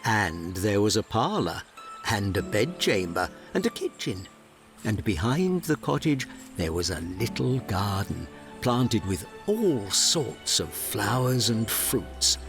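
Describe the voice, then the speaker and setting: A man narrating a fairy tale audio drama in a measured, dramatic storybook style. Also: on the low side, very animated, a bit bright, soft background music.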